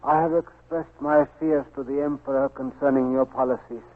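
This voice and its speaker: A man playing an earnest, worried Japanese prince in a 1940s radio drama, with a creaky, husky voice. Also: medium pitch, fairly even intonation, normal speed, close to an everyday voice. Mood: grave, urgent. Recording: scratchy narrow-band 1940s radio recording.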